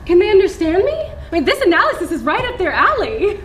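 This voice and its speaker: A woman's voice: extremely high pitch, very animated, fairly quick. An actress playing an urbane, indecisive, pretentious woman in her thirties in a stage play, with an intellectual, theatrical manner. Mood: haughty. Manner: theatrical, intellectual, sprinkles in French. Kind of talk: live stage play acting. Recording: echoey theatre stage recording, room noise.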